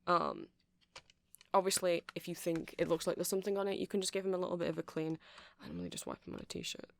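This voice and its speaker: A woman's voice: on the low side, wildly swooping pitch, fast, gravelly. A woman giving a rambling, chatty ASMR demonstration, explaining things step by step. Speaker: ASMR creator.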